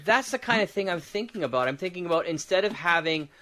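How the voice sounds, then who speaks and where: A man's voice: high-pitched, expressive, quick pace, a crowd in the background. A man chatting casually about game design as a podcast co-host, rambling and thinking aloud as he goes.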